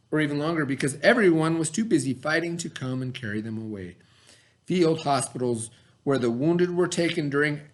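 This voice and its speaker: A man with a fairly high-pitched voice reads aloud as a schoolteacher would. His phrasing is expressive and instructive, suited to questioning pupils and explaining things simply. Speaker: teacher reading aloud to pupils.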